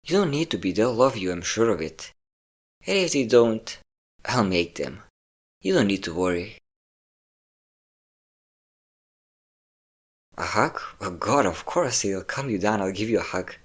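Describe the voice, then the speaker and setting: A man's voice: on the low side, very animated, normal speed. A man playing a possessive, obsessive centuries-old vampire in a dramatic romantic audio roleplay, intense and theatrical in delivery. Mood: tender, coaxing. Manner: intense, theatrical, swinging between tender and threatening. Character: possessive obsessive five-hundred-year-old male vampire lover, menacing, volatile.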